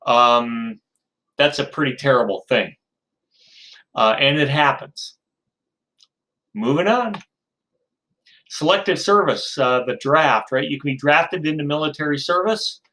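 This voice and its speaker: A man's voice: a little high, lively intonation, unhurried, pauses often, bright, forceful tone. Chatty man in his fifties lecturing. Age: 50s. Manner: chatty, self-deprecating asides, frequent ums. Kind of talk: informal recorded lecture.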